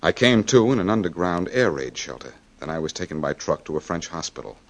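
Low-voiced war correspondent telling his story. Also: very animated, a little fast, exaggerated voice. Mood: grave, tense. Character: American male war correspondent recounting battle ordeal. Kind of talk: dramatic first-person radio narration.

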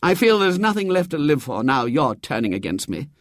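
A man's voice: a little high, sing-song, a little fast, no room sound, clean studio sound, voice pushed up. A male reader's hapless lovesick young man for a comic storybook: stammering, plaintive, raised voice, wildly swooping. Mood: miserable. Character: flustered, miserable, self-dramatising lovesick young man.

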